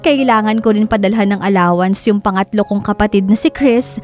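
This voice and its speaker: Woman playing sorrowful daughter, Filipino accent, medium pitch, reflective, confiding, scripted first-person drama narration. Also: brisk, a little mellow, faint music behind, natural voice. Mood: sad, reflective. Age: young adult. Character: sorrowful daughter narrating her troubled family life.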